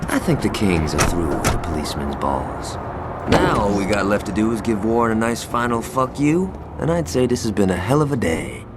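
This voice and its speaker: An actor playing a sardonic gang member who talks in a wry, boastful way. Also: male voice, lowish voice, sing-song, normal speed. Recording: loud traffic and engine noise.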